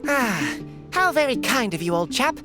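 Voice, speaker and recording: An actress voicing a chatty, prim talking squirrel in a video game, with quaint genteel phrasing. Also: female voice, on the high side, big pitch swings, normal speed, over music. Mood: chatty, aggrieved. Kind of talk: video game character acting.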